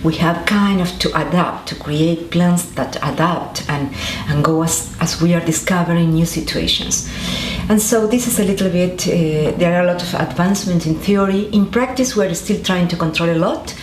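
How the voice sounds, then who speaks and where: A woman's voice: on the low side, moderate pace. A woman from Argentina, a scientist, answering interview questions thoughtfully with frequent restarts and fillers. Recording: echoey room with faint noise.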